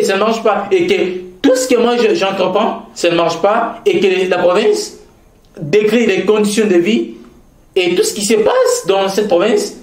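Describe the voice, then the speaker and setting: A Congolese man with a Congolese French accent, speaking in a formal, earnest way, often repeating key phrases and hesitating as he goes. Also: sits high. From Kasaï-Central, DR Congo. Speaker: interviewee, commenting on provincial politics. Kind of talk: formal, earnest public commentary. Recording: echoey room.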